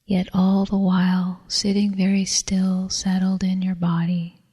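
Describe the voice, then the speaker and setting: A woman leading a guided meditation in a soft, whispery, breathy voice, calm and soothing, with long unhurried pauses. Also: medium pitch. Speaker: meditation teacher. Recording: long reverberant hall echo.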